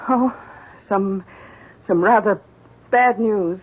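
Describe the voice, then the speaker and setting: An actress playing an anxious, gentle wife in a radio drama, with a hesitant, worried delivery. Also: female voice, mid-range voice, slow, husky. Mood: worried, regretful. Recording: dull 1970s radio recording.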